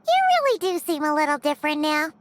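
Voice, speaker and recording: A woman voicing a small, childlike fairy companion in a video game, squeaky, chirpy and curious. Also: sing-song, fast talker, very noisy.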